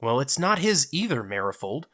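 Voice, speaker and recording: A man's voice: medium pitch, sing-song, moderate pace, voice pushed up. A man voicing a defiant, hot-tempered young woman in an audio drama, with a sarcastic manner. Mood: indignant. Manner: sharp, sarcastic.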